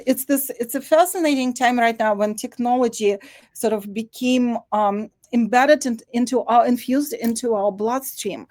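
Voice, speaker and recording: A woman artist presenting her work at an online demo day, speaking reflectively with many pauses and fillers. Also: fairly high pitch, darkish tone, dry recording.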